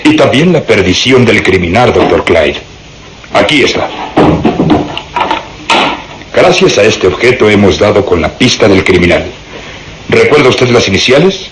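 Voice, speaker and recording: Un actor interpretando a un inspector de policía insistente y triunfal, de hablar declamatorio, en una radionovela. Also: male voice, on the low side, animated, measured pace, long pauses, background noise. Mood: confident, triumphant. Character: insistent, triumphant male police inspector, sure of himself. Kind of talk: radio drama acting.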